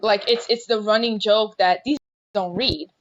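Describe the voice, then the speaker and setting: A woman's voice: medium pitch, steady tone, normal speed. A young woman in her twenties chats frankly and brashly as a podcast co-host. Her audio comes through slightly muffled and lo-fi. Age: 20s. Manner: upbeat, candid, brash. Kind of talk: casual panel chat.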